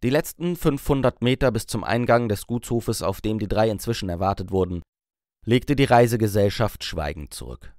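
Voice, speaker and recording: A man's voice: on the low side, animated, normal speed, a little mellow, no echo, studio quality. A man reading a story aloud as an audiobook narrator in scripted storytelling style.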